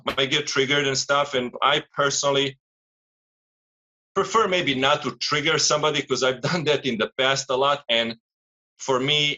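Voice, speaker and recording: A man with a bright, forward voice at an ordinary male pitch chats casually as a coach. He gives advice in a loose, rambling and philosophical way. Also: lo-fi sound. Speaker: strength coach, guest. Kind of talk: casual chat, giving advice.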